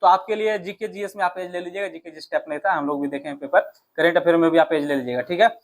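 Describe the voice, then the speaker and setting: A man's voice: fairly high pitch, fast talker. A man with a Bihari accent speaking as an exam coach, explaining informally and directly, often asking questions and answering them himself. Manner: conversational, addresses viewers directly, often asks then answers his own questions.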